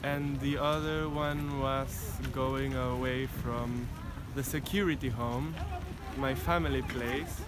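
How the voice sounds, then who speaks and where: A young man from Chile, answering interview questions haltingly with long pauses and many ums. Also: mid-range voice, steady tone, noisy background. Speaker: art student interviewee.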